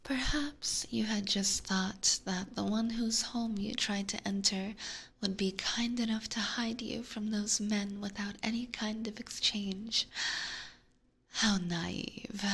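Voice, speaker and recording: Woman acting as haughty vampire lady, breathy half-whisper, slow delivery, teasing and condescending, formal diction, close-miked ASMR roleplay. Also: mid-range voice, fairly bright tone, exaggerated voice. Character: haughty, teasing female vampire, refined and predatory. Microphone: close binaural microphone.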